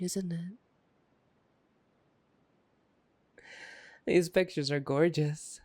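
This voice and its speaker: A woman's voice: deep voice, mellow, no echo. A woman playing a taunting, playful, predatory vampire in an ASMR roleplay, with a teasing, mocking drawl. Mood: mocking, cold. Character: taunting, playful, predatory vampire gloating over a captive hunter. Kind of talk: ASMR roleplay audio drama.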